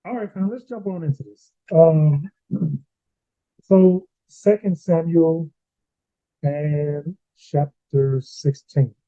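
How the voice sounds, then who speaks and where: A man's voice: on the high side, slow pace, mellow, dry recording, dull audio. A man hosting a Bible read-along in casual, informal short phrases, heard through a thin home stream mic. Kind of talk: casual conversational discussion.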